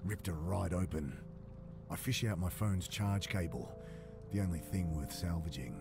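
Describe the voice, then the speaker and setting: An actor playing a shaken, weary drifter in a pulp thriller game, narrating and talking in a halting, subdued way over synth music. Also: male voice, deep voice, gravelly. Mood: brooding. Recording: dark synth music behind. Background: brooding synth score.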